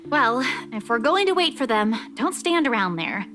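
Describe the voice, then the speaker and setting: A woman's voice: a little high, big pitch swings, normal speed, music in the background, voice pushed up. A woman voicing a bossy, teasing young woman with a playful scolding manner in acted visual novel dialogue.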